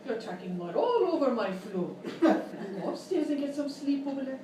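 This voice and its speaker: A woman's voice: high-pitched, sing-song, moderate pace. A woman playing a brassy, nagging Wild West saloon gal in a live radio comedy sketch, folksy and theatrical. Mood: scolding, fussing. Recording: distant, roomy, noisy live stage recording.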